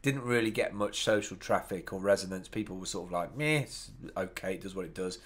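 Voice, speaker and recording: A man's voice: sits low, a little fast, fairly bright tone. A man co-hosting an app review show, chatting informally and with enthusiasm about tech. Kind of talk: casual tech review chat.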